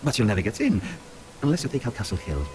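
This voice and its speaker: An actor playing a wry, bitter partisan in a video game, with a sardonic manner. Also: male voice, mid-range voice, big pitch swings, fast talker, a little mellow, slight background noise, natural voice, low-quality recording.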